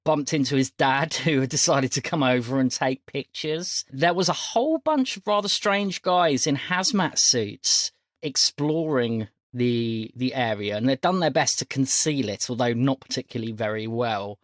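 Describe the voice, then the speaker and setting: A man's voice: medium pitch, normal speed, dry recording, clean studio sound. A man hosting a casual podcast as game master, chatting and recapping.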